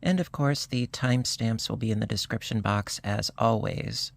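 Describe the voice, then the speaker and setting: A crochet instructor, slightly hoarse, calmly calling out each stitch in a step-by-step tutorial. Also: mid-range voice. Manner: calm, unhurried, talks through each stitch.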